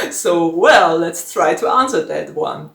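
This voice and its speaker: A woman's voice: lowish voice, animated, slow pace, creaky, a bit bright. A woman whose first language is German, chatting casually to her viewers in a Q&A vlog, frank and laughing often. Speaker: book YouTuber. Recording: echoey room.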